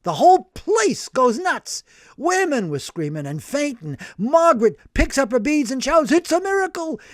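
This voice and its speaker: A man voicing a brash, excitable older working-class man who tells his story in animated slang. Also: very high voice, a little slow, no echo, studio quality, pitched above the performer's own voice, heavily acted. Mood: excited.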